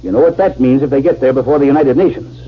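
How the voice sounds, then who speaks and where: An actor playing an earnest, measured army general in a 1950s radio drama. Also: male voice, mid-range voice, slightly rough, natural voice. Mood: grave, commanding. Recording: tinny, hissy old radio recording.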